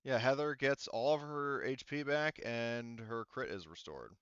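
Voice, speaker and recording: A man casually running a tabletop roleplaying game as game master, often thinking out loud. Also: mid-range voice, expressive, a little slow, clear, resonant tone, dry recording, lo-fi sound.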